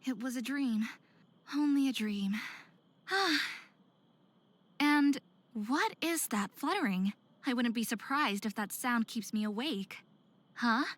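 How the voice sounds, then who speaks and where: An actress playing a sensitive, anxious young hybrid woman in a visual novel, introspective and expressive. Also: on the high side, slow pace, pauses often. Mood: weary, uneasy. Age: young adult. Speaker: lead character narrator. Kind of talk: visual novel voice acting, soliloquy and diary narration.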